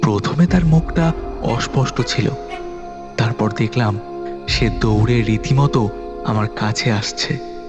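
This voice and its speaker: Male narrator for a spooky audio story, steady mid pitch, eerie music and crickets behind. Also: dull audio. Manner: measured storytelling, turns anxious in dialogue. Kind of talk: first-person horror audio story narration.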